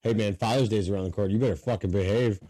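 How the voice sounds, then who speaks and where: A man's voice: on the low side, rapid-fire, mellow. A man in his thirties hosting a podcast, teasing and joking with plenty of swearing. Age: 30s. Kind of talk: casual chat.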